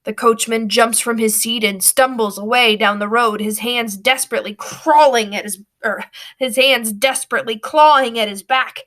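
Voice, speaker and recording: A woman reading a storybook aloud with expressive, dramatic narration. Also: a little high, normal speed.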